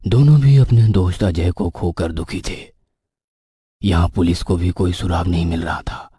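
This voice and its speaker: Low husky man narrating horror. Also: normal speed, mellow, no room sound, clean studio sound. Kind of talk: scripted Hindi horror story narration.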